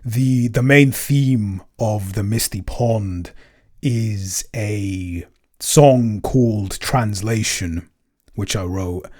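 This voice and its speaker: A man with a fairly low voice speaks off the cuff in a casual podcast Q&A. He is halting, often doubling words and restarting phrases while he explains his creative work. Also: darkish tone. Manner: halting, stammering over repeated words. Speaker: podcast creator and composer answering listener questions.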